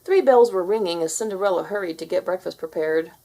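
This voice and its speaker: A woman's voice: lowish voice, fast, mellow. A woman narrating a children's fairy tale as a scripted read-aloud.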